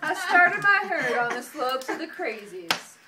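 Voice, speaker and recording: A woman's voice: high-pitched, very animated, a little slow. A woman reading a rhyming cowboy Christmas tale aloud in a folksy, amused storytelling manner, as an amateur reader. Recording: roomy, distant mic, noisy home recording.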